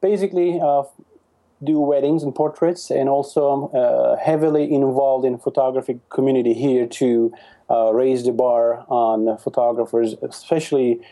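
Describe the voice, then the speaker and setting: Male guest from Turkey, very dark warm tone, friendly and hesitant podcast chat. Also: medium pitch, steady tone, normal speed. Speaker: podcast guest, wedding photographer. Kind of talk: casual interview answers.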